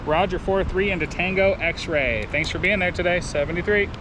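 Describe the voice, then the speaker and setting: Male amateur radio operator, American, fairly high pitch, procedural phonetic call-outs, outdoor setting with clear background noise. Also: moderate pace. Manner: spells in phonetic alphabet, procedural radio phrasing. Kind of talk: ham radio calling, repeated call signs and signal reports. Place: outdoors in a park.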